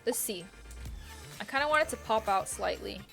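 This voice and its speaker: A woman's voice: medium pitch, animated, normal speed, vocal fry. A woman live streaming a game, thinking aloud in a casual, rambling, indecisive way and repeating herself. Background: quiet music.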